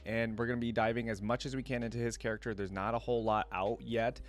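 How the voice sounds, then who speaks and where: A man's voice: medium pitch, flat delivery, fast talker. A man hosting a reaction video with casual, informal and enthusiastic commentary.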